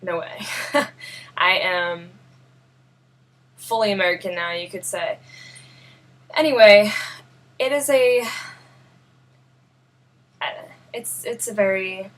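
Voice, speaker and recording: A young woman with a New York accent, born in Russia, talking earnestly and haltingly to camera in a personal vlog, often searching for words. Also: mid-range voice, a bit bright. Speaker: vlogger.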